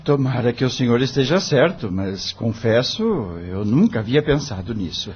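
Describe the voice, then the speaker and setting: A man's voice: medium pitch, expressive, moderate pace, husky, highs cut off. An actor playing an earnest, discouraged young male lawyer in a radio drama, respectful and pleading, with a Brazilian accent. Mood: doubtful.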